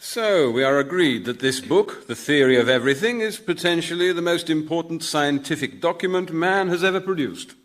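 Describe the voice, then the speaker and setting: An actor playing a pompous, blandly ruthless senior civil servant in a radio comedy drama. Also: male voice, on the high side, moderate pace, hardly any pauses, fairly bright tone, lightly acted. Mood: grandly official. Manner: pompous, smoothly bureaucratic.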